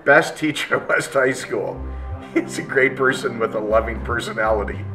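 A man's voice: medium pitch, moderate pace, pushed and bright. A male teacher in his thirties reading reviews aloud and reacting casually, recorded in a classroom from a few feet away. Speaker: math teacher. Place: indoor classroom. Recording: distant mic with music behind.

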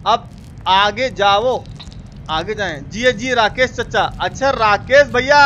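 High-pitched Bihari man streaming over game noise. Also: sharp tone. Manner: colloquial, repetitive, exclaiming, mock-abusive. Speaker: live-streamer playing a horror game. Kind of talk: excited gameplay commentary. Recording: noisy stream with game sounds behind.

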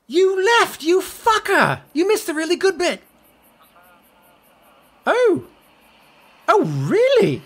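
This is a brash middle-aged British rock star in an audio comedy. His voice rides very high and jumps around animatedly. He is theatrical, sweary and full of himself. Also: wildly swooping pitch, slow pace, pauses often, full character voice. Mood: indignant. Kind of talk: comedy audio drama acting.

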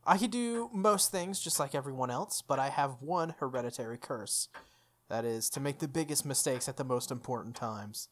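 A young adult streamer reading a visual novel aloud, with expressive delivery and casual, playful asides. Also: medium pitch, normal speed, a little hiss or hum. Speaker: game streamer. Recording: music playing under the voice. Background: background music.

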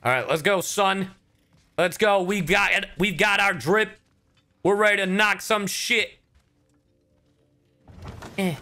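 A young man streaming a game with casual, cheeky commentary. His bright voice sits a little high and moves around a lot, and he swears playfully. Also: slow, pauses often, faint music behind.

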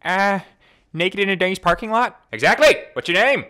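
A woman's voice: medium pitch, animated, normal speed, fairly bright tone, close to an everyday voice. A woman voicing a manic, flippant, scatterbrained schoolteacher who rambles abruptly in an anime parody dub. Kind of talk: fan parody dub acting.

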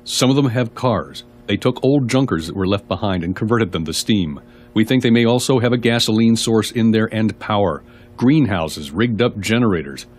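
An actor in an audio drama playing a gruff, no-nonsense prison security man, blunt and matter-of-fact. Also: fairly low pitch, animated, moderate pace. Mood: grim, factual.

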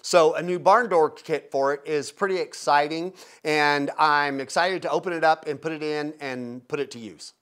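A man's voice: a little high, normal speed, hardly any pauses, a bit bright. A man in his fifties talking casually and informally as he explains and demonstrates a product. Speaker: product reviewer, host. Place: indoor photo studio with backdrop.

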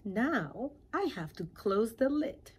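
A woman voicing a self-assured, patient female caterpillar in a children's storybook, explaining things in a measured way. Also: a little high, sing-song, a little mellow, husky, voice pushed up, exaggerated voice. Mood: instructive.

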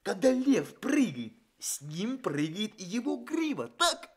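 Husky, slow, eager straw scarecrow. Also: male voice, high voice, big pitch swings, exaggerated voice. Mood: eager, reasoning. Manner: eager, simple-minded step-by-step reasoning. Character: naive, cheerful living straw scarecrow, male. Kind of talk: Soviet children's radio play acting.

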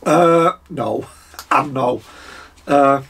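A Scottish man in his fifties with a croaky voice, chatting casually through a beer review at his desk, with frequent fillers and throat clearing. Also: mid-range voice, drawn-out words, fairly bright tone. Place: home workshop, indoors, small room.

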